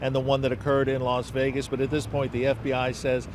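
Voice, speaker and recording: A man's voice: medium pitch, completely flat delivery, quick pace. A man working as a TV news reporter, giving a live field report outdoors on a city street. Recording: outdoor street noise, traffic.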